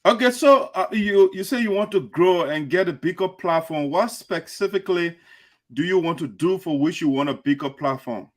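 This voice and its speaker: Soft-spoken male interview host. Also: sits high, normal speed. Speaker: radio show host. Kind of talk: interview questions.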